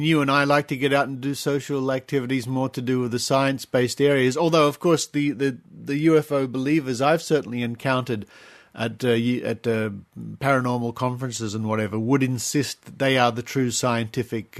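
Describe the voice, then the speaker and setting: A man's voice: mid-range voice, fairly even intonation, normal speed. A man hosting a podcast interview in a conversational style. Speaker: podcast host.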